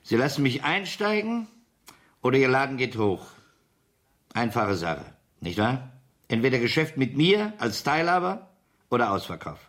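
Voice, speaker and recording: A smug gangster boss in a radio crime play. His mid-pitched voice swings widely as he threatens, mocks and gives orders with cool authority. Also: big pitch swings, normal speed, fairly bright tone. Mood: smugly threatening. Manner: coolly threatening, mocking, commanding. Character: menacing, smug male gangster boss extorting partners. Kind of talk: 1970s German radio crime drama acting.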